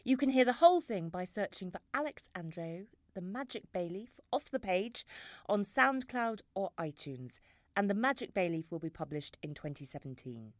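A woman hosting a podcast, reading a measured, scripted introduction. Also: mid-range voice, very animated, no room sound. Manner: clear, measured, read from a script. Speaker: podcast host.

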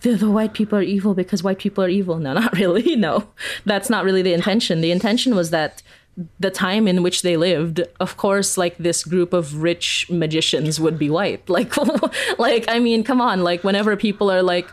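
A woman's voice: fairly low pitch, normal speed. A woman answering interview questions casually and conversationally, often saying "like" and chuckling as she explains. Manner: peppers talk with "like", chuckles while explaining. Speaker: interview guest, audio fiction creator.

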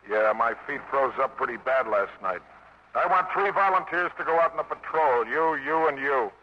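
An actor playing a no-nonsense American squad sergeant, clipped and businesslike, in a 1950s radio drama. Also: male voice, mid-range voice, animated, normal speed, close to an everyday voice. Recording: noisy, dull 1950s radio recording.

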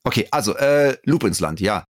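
A man's voice: on the low side, animated, moderate pace, no room sound, studio quality. A man being interviewed as a performer, talking casually and thoughtfully as he explains his work. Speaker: interviewed voice actor and live performer. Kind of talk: casual interview talk, anecdotes.

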